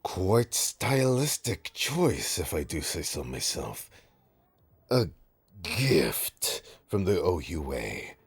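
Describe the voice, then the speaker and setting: A man playing a suave, smug supervillain in an audio roleplay, teasing his nemesis with a pompous, mock-polite drawl and dramatic pauses. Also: low-pitched, sing-song, full character voice. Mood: wry, airy. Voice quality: gravelly, husky. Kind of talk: audio roleplay monologue addressed to listener.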